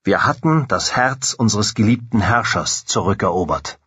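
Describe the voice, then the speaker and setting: A loyal soldier of antiquity in an audio drama. His voice is low and husky, solemn and full of feeling for his king, recorded very dry. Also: expressive, moderate pace, sharp tone, dry recording, exaggerated voice. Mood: moved, proud. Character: devoted ancient soldier recalling his beloved king's victory.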